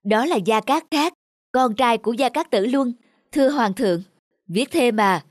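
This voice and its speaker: Expressive woman voicing a cartoon tale. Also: a little high, unhurried, dry recording, studio quality. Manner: expressive, acted storytelling. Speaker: narrator and voice of all characters. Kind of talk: cartoon voice acting. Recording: music behind.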